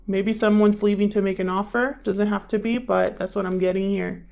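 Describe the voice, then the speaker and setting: A woman hosts an improvised tarot reading with music playing behind her. Her voice sits at a middling pitch for a woman. She talks conversationally, thinking aloud as she addresses the cards and her viewers. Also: fairly even intonation, moderate pace, gravelly, a little mellow. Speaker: tarot reader, channel host. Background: background music.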